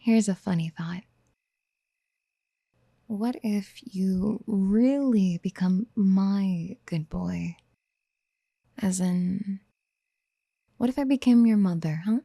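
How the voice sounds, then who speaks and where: A woman playing a possessive, motherly yandere in a soft-spoken ASMR roleplay, gentle and coaxing, often slipping toward a breathy whisper. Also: mid-range voice, takes it slowly, pauses often, no echo. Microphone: close studio mic.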